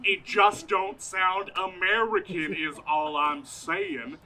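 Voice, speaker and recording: A man's voice: high-pitched, lively intonation, slow, gravelly, pushed and bright, full character voice. A man playing a folksy, grumbling, chauvinist farmer husband in a comedic stage radio play. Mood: indignant, stubborn. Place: outdoors, parking lot stage. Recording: outdoor live stage performance.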